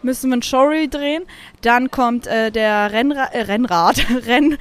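A woman's voice: on the high side, measured pace, smooth. A woman co-hosting a podcast, chatting casually in a playful storytelling way. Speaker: podcast co-host and aspiring actor. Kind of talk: casual chat.

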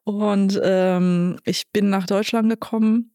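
Croatian-accented woman, halting and thoughtful. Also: mid-range voice, fairly even intonation, warm tone, no room sound, clean studio sound. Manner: thoughtful, many hesitation fillers. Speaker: guest, nursing director. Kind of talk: interview answers.

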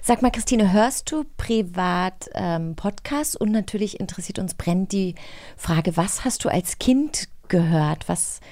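A woman's voice: mid-range voice, expressive, a little slow, a little mellow, no echo. A woman from Germany co-hosting a podcast, asking questions and chatting casually. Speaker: podcast co-host, interviewer. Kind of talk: casual chat.

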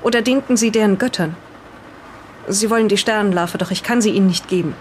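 Someone voicing an earnest, defensive island resident in an improvised radio play, speaking deliberately as if reading aloud. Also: medium pitch, slight background noise, natural voice. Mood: solemn reading.

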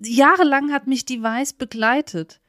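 A woman's voice: sits high, a little slow, a bit bright, dry recording. Chatty woman, close dry recording. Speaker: podcast co-host. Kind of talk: casual humorous chat.

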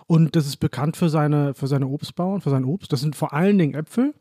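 A man's voice: a little high, brisk, warm tone, breathy edge, no echo, studio quality. A man from northern Germany, a guest chatting casually and thoughtfully in an interview, playing along with jokes.

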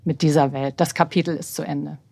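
Relaxed woman with gravelly voice. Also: sits low, brisk, no echo. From Berlin, Germany. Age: 50s. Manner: easygoing, laughs while talking, many fillers. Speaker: interview guest, personal health coach. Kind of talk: relaxed conversational interview.

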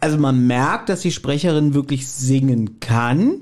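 A man's voice: medium pitch, measured pace, a little mellow. A man chatting casually as a podcast co-host about an audio drama.

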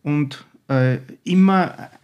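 A man's voice: fairly high pitch, extremely slow, darkish tone, clean tone. An older man with an Austrian accent, a guest chef talking enthusiastically in a podcast chat, with many restarts.

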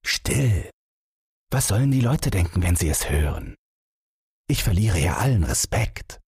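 A man's voice: on the low side, big pitch swings, unhurried, a little mellow, husky, dry recording, clean studio sound, voice pushed up, full character voice. A man voicing a cheerful, carefree young man with an eager, polite manner in a fairy-tale audiobook. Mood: playful scolding.

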